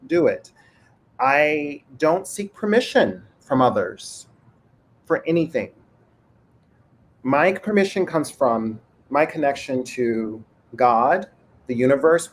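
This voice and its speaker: A man moderating a panel conversation over a video call, affirming and encouraging his guests. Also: mid-range voice. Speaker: moderator and show host. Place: home room.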